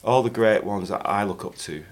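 A man's voice: lowish voice, fairly quick. A man from Bolton with a Northern English accent, a podcast guest telling stories in casual, swearing, laughing chat. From Bolton, England. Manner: animated, swearing, laughing storyteller.

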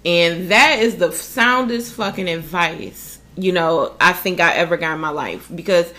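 A woman co-hosting a podcast, talking in a loud, blunt and profane way while giving relationship advice. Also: lowish voice, a little slow. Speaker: podcast co-host. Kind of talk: casual relationship advice chat.

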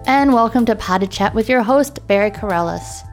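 A woman with a fairly high voice reads an upbeat scripted introduction, announcer-style, over faint music. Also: expressive, a little fast. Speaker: podcast network founder.

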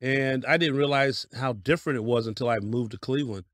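A middle-aged man of average pitch, a pastor from Colorado, tells his life story as an interview guest. His delivery is folksy and easygoing, breaking into laughter. The sound is dry and close to the mic. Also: brisk, no echo. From Denver, Colorado. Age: 50s.

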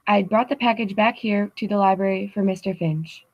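A woman's voice: medium pitch, fairly quick, no echo, natural voice. Anxious butler played by a woman for old-time radio: formal, hesitant, deferential, mid-range, dry muffled recording. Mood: shaken. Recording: slightly dull, dry recording.

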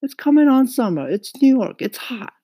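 A man's voice: extremely high pitch, big pitch swings, moderate pace, mellow, no echo, clean studio sound, voice pushed up, full character voice. A man voicing a stern, scornful mother in a storybook, scolding emphatically in stilted immigrant phrasing. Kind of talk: acted storybook reading.

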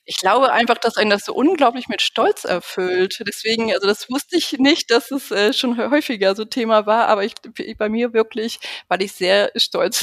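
Woman, 40s, podcast guest, gravelly touch, dry close sound, hesitant and reflective delivery. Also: mid-range voice, dry recording. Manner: thoughtful, hesitant with many fillers, laughs often. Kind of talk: casual podcast interview.

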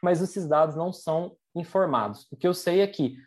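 Brazilian researcher with a mid-pitched voice. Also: normal speed. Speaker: academic researcher, guest speaker. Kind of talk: explanatory presentation of research in a podcast debate.

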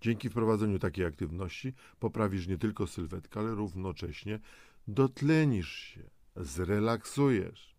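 A man speaks straight to the listener as a motivational host, in a low voice with a gravelly fry. He coaxes and instructs, posing questions as he goes. The sound is close and dry. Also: moderate pace, a little mellow, dry recording. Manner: coaxing, instructive, with rhetorical questions. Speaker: host of a health and lifestyle audio programme. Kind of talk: semi-scripted motivational narration addressed to the listener.

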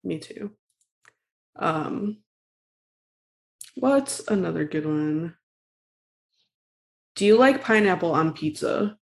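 A woman's voice: lowish voice, animated, slow, gravelly, long pauses. A woman chatting casually as a podcast co-host. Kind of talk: casual chat.

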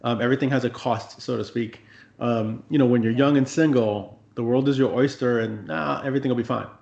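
A man's voice: mid-range voice, fairly quick, gravelly, lo-fi sound. Reflective male interviewer, echoey room. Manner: reflective, relaxed, with frequent fillers. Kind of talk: casual interview conversation.

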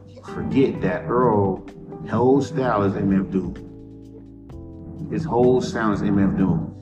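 A man with a deep monotone voice, talking alone as a podcast host in a blunt, profane, rambling rant about music. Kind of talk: casual solo monologue. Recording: dull lo-fi with loud music behind.

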